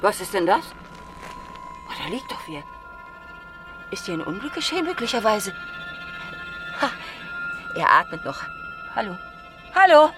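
A woman's voice: mid-range voice, very animated, unhurried, long pauses, music in the background. An actress playing an eccentric, kind-hearted horse-dealer woman in a German radio drama, exclaiming and fussing in alarm. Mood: puzzled, alarmed.

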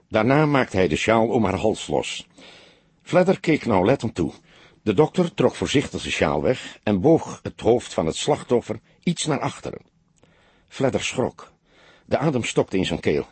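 A man's voice: fairly low pitch, a little mellow, husky, no room sound. A man narrating a crime novel as an audiobook, reading scripted prose in a dramatic storytelling style.

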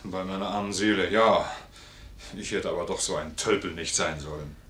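An actor playing a gruff but good-hearted sergeant with blunt, folksy soldierly talk in a 1950s German radio play. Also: male voice, low voice, normal speed, fairly bright tone. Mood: bashful. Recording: roomy 1950s radio studio recording.

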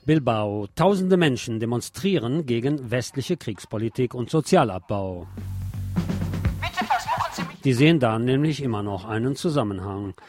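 A man presents the news on a radio show. He reads reports in a mid-pitched voice and slips in sardonic, opinionated asides between items. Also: lively intonation, measured pace, music in the background. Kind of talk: news reading with opinionated commentary.

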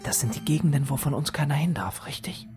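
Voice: medium pitch, expressive, fast, close to an everyday voice. An actor in a German radio drama playing a curious young pupil, musing and full of questions. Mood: inquisitive. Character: curious, questioning young pupil raised among strange creatures. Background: music.

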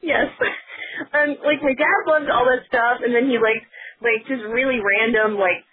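A woman in her thirties chats as a guest, her voice a little high and quick to break into laughter. She talks in a loose, rambling way full of filler words. The sound is thin and tinny, like a phone line. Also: steady tone, slightly rough. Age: 30s. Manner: chatty, laughs often, many fillers. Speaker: guest, author. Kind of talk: casual conversation.

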